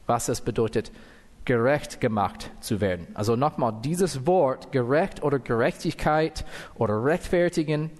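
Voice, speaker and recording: An American man with English as his first tongue preaches in a church hall, taking his time over every phrase. His voice carries a long reverb from the room, and he stops now and then to correct himself. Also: mid-range voice. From United States. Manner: careful, deliberate, with fillers and self-corrections. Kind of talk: sermon with scripture reading.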